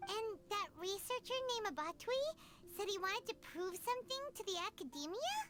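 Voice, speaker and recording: A woman voicing a tiny floating fairy companion with a high, childlike chatter who talks about herself in the third person. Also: lively intonation, normal speed. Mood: curious. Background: loud game music.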